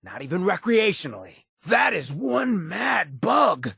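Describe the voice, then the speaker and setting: An actor voicing a sardonic, streetwise ghoul town boss for a video game, with a gravelly rasp and a sly, slangy delivery. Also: male voice, sits high, sing-song, measured pace, sharp tone, no echo, clean studio sound, full character voice. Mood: combat taunting. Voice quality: gravelly, raspy. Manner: sly, laid-back, slangy. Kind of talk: video game companion voice lines.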